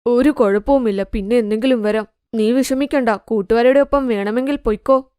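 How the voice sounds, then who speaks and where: A woman voicing an elderly, considerate and gently firm mother-in-law in a cartoon moral story. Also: on the high side, brisk, smooth, dry recording, studio quality, pitched below the performer's own voice, natural voice. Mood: reassuring.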